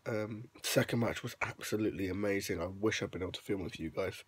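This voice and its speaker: A young man records a late-night vlog on his phone while lying in bed. His voice is low and rough, husky from shouting, and he sounds a bit sniffly and under the weather. He rambles along with plenty of ums, upbeat despite it. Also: quick pace. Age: young adult. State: hoarse voice; unwell, sniffly. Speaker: vlogger. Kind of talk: casual vlog recap. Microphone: phone held close at arm's length.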